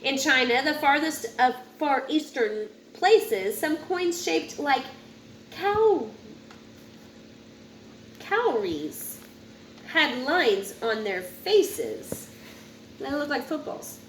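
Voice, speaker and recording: A woman reading a rhyming children's picture book aloud in an expressive, sing-song way, occasionally stumbling over a word. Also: sits high, extremely slow, pauses often. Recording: roomy echo with faint background noise.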